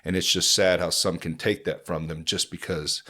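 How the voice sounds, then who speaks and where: A man's voice: low voice, fairly even intonation, normal speed, sharp tone. A man hosting a podcast, talking earnestly in a loose, rambling way full of fillers and restarts. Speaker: podcast host. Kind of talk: casual conversational podcast.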